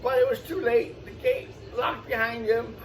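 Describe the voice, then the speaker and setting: A man's voice: extremely high pitch, steady tone, moderate pace. A man hosting storytime, reading a children's picture book aloud with exclamations and explanatory asides for young listeners. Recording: distant mic, faint background noise.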